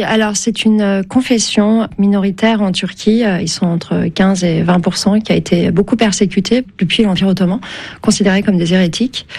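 A woman's voice: mid-range voice, a little mellow. A Turkish-born woman, a novelist whose first language is Turkish, speaking French in a radio interview, thoughtful and hesitant, with many fillers and restarts. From Turkey. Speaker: novelist guest.

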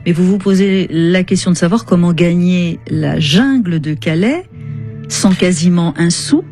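Low, mellow-voiced woman radio interviewer. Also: a little slow, soft background music. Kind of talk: broadcast radio interview, reading excerpts aloud.

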